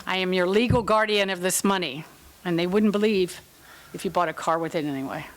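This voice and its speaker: A woman's voice: on the low side, brisk, a little hiss or hum, close to an everyday voice. A woman playing a caring but firm legal guardian, patient and explaining, in a staged reading of a play.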